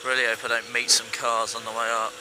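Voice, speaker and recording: A young man from England chatting casually to viewers from a helmet camera while riding a dirt bike, relaxed and rambling. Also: medium pitch, fairly quick. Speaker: motovlogger. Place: riding a dirt bike on wet country roads. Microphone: helmet-mounted camera mic. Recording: helmet cam with engine and wind noise. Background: engine and wind noise.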